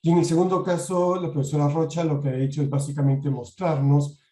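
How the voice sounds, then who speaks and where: A man moderating an online academic seminar, speaking in a measured, polite way with frequent pauses. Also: on the high side, fairly even intonation, mellow, echoey room. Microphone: video call.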